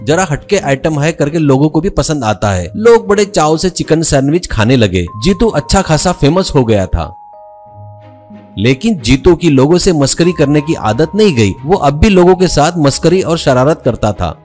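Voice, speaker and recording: A male storyteller narrates a children's cartoon tale. His voice sits a bit high and moves around in a very lively way, with music playing behind it. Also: normal speed.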